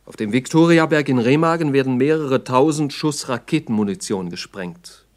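A man's voice: mid-range voice, normal speed, a little mellow. A man narrating a 1960s radio play in a sober, factual, report-like style.

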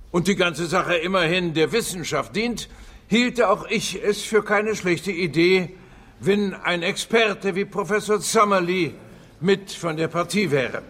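Dignified, high-voiced institute director. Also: male voice, fairly bright tone. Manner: formal, deliberate. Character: measured, dignified male director of scientific institute. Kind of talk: radio drama acting. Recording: faint room ambience noise.

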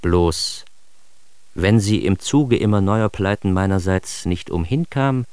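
A man's voice: sits low, slow pace, a little mellow, smooth, dry recording. A man playing a neurotic, self-mocking narrator of his own childhood in a radio drama, in standard German with a wry, theatrical manner.

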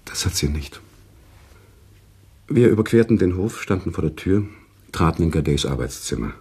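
A very deep, husky, earnest gentleman. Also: big pitch swings, normal speed, exaggerated voice. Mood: calm, narrating. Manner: earnest, courteous. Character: concerned, morally indignant male friend and narrator. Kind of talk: German radio play acting and narration.

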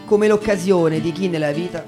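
A man's voice: high-pitched, normal speed. A man narrating in a theatrical spoken storytelling style, as in a stage show. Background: soft guitar music.

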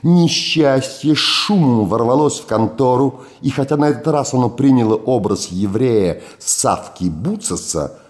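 An older man giving a performed literary reading in a theatrical, richly expressive way. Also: medium pitch, measured pace. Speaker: reader, actor.

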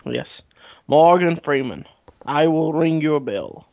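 A man co-hosting a podcast, trading dry deadpan jokes in casual banter. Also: on the high side, takes it slowly, creaky, dry recording, dull audio. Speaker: podcast co-host. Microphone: podcast mic.

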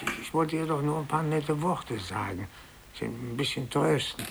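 An actor in a 1950s German radio play as a timid, nervous older office clerk, hesitant and solicitous. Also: male voice, on the high side, expressive, normal speed, creaky. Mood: self-pitying. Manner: hesitant, stammering, fretful. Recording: hissy 1950s radio recording with clicks.

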